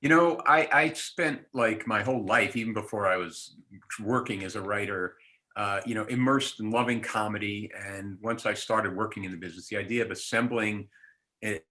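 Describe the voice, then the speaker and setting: A man's voice: fairly low pitch, moderate pace, bright, forceful tone. A man speaking reflectively and earnestly in a casual reunion conversation on a video call. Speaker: comedy writer reminiscing with cast.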